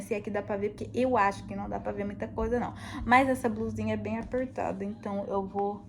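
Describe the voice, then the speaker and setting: A woman's voice: medium pitch, darkish tone. Chatty woman rambling in a casual vlog. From United States. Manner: chatty, rambling, laughs often. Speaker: vlogger. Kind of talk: casual chat.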